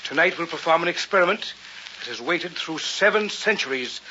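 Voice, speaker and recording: An actor playing a grandiose, obsessed gentleman occultist with a portentous, avuncular manner in a vintage radio drama. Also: fairly high pitch, lively intonation, fairly quick. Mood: portentous, excited. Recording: slightly noisy, muffled vintage radio recording.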